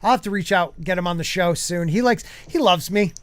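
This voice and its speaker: A man's voice: high voice, a little fast, fairly bright tone, dry recording. A man hosting a casual podcast, joking around and reading viewer comments aloud. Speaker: podcast host.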